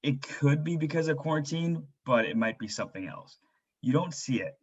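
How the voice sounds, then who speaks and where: A man's voice: fairly high pitch, animated, moderate pace, slightly rough, lo-fi sound. A man playing a worried, frustrated father in a staged drama reading, with a pleading, earnest manner. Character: worried, frustrated stay-at-home father and husband.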